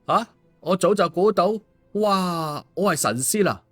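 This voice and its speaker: A man's voice: high-pitched, expressive, unhurried, fairly bright tone, soft background music, pitched above the performer's own voice, full character voice. A man voicing a glib, cheeky male schemer in an audiobook, teasing and mock-sincere with exclamations. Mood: mock innocent. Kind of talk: audiobook dialogue.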